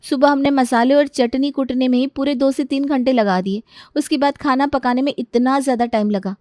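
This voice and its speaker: High-pitched, complaining young daughter-in-law, acted by a woman. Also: fairly even intonation, moderate pace, darkish tone, no room sound, studio quality, lightly acted. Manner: exasperated, dramatic complaining with exclamations. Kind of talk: acted Hindi family story dialogue.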